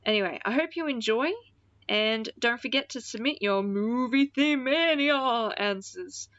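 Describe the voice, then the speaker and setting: A woman's voice: fairly high pitch, a little slow, a bit bright, no room sound, dull audio. A woman hosting a radio-style show in casual DJ chat, with an informal, rambling, self-deprecating manner. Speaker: radio-style podcast host.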